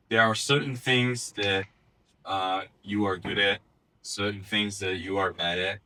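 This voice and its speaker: A man delivers a short practice speech at an unhurried pace, his voice low-pitched and bright. He lays out each point deliberately, pausing on small fillers. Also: low-quality recording. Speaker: speaker giving a practice talk.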